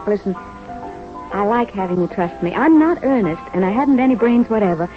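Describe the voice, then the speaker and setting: An actress playing a cheeky, devoted young nurse trainee from North Dakota in an old-time radio drama, speaking in a frank, informal way. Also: female voice, mid-range voice, expressive, normal speed, faint music behind, lightly acted. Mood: warm, sincere. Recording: muffled vintage radio broadcast recording.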